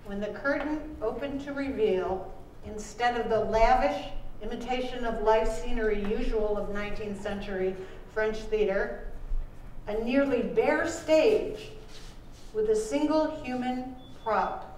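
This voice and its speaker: A woman's voice: a little high, unhurried, darkish tone. Woman reading aloud in an echoey room. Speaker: author reading from their book. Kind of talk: scripted reading at live event. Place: live book launch performance in a room. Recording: echoey room, distant mic, faint noise.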